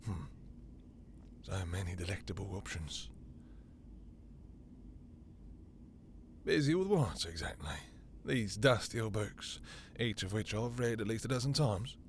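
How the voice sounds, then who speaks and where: A man playing a possessive, domineering vampire master in an intimate audio roleplay, with slow, teasing, silky delivery and a breathy, gravelly murmur. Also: fairly low pitch, expressive, a little hiss or hum. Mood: flirtatious, courteous.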